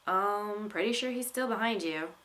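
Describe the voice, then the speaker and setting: A woman's voice: medium pitch, moderate pace, a bit bright, natural voice. Woman reading as a snarky, skittish male unicorn. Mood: wry, snarky. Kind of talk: storybook character reading.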